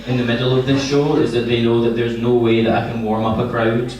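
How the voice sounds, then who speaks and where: Young Glaswegian stand-up, deadpan delivery, heard from far off in a very echoey, noisy room. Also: male voice, mid-range voice, quick pace. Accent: Glaswegian Scottish. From Scotland. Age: young adult. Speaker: stand-up comedian. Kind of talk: stand-up set. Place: comedy venue before an audience. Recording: echoey live room, distant mic, noisy.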